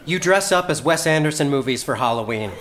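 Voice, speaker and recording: A woman's voice: low-pitched, lively intonation, brisk, background noise. An actress playing a principled, incredulous female office worker in a satirical audio comedy, with hesitant starts and blunt, exasperated objections.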